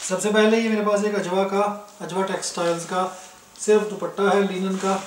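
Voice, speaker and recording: A man from Pakistan showing clothes for sale, listing prices in a brisk, matter-of-fact way. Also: sits high, level tone, far from the mic, background noise. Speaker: online clothing seller. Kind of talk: casual product showcase. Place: indoors, filming fabrics on the floor. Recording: echoey room, somewhat off-mic.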